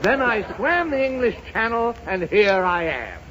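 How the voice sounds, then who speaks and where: An actor playing a pompous, childish, boastful little emperor in a 1930s radio comedy sketch, blustering and silly, on a dull, noisy old broadcast. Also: male voice, sits high, sing-song, measured pace, fairly bright tone, exaggerated voice.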